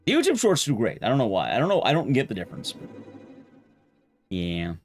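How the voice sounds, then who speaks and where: A man's voice: medium pitch, huge pitch swings, a little fast, soft background music. A man streaming a game, chatting casually in a rambling, blunt, conversational way with his viewers. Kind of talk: casual live-stream chat while gaming.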